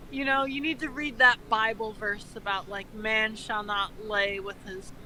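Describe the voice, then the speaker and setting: Higher-voiced woman, mock-earnest comedic reader. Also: flat delivery, crowd noise, rough recording. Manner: mock-earnest, halting, slangy asides. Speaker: co-host reading fan fiction aloud. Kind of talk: comedic dramatic reading with joking commentary. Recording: uneven volume levels.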